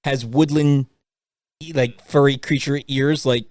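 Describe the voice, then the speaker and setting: A man's voice: medium pitch, fairly even intonation, measured pace, darkish tone, dry recording. A man talking casually as a co-host in a podcast discussion. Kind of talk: casual chat.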